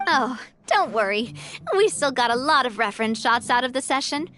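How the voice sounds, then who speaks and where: A woman playing an upbeat, poised young boss of a guild in a video game, chatty and expressive. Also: high voice, moderate pace. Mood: friendly, animated. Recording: game music behind the voice.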